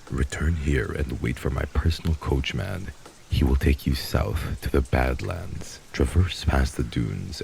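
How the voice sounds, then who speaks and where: A vampire lord with a rock-bottom voice steeped in heavy gravel. He is cold, formal and commanding, like a crime boss handing down orders in a roleplay audio drama. A faint noise sits behind him. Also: extremely low pitch, darkish tone, heavily acted, low-quality recording.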